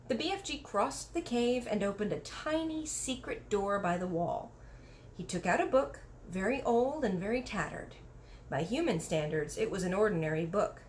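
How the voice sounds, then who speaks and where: A woman narrating a storybook in an expressive read-aloud style. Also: mid-range voice, a little hiss or hum. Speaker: storybook reader.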